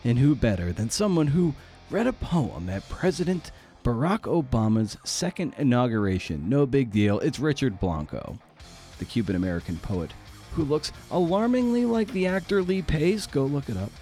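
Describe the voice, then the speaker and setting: A man's voice: medium pitch, big pitch swings, normal speed, mellow, faint music behind. A man hosting a podcast, chatting casually through an introduction in an irreverent, slangy, self-deprecating way. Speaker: podcast host.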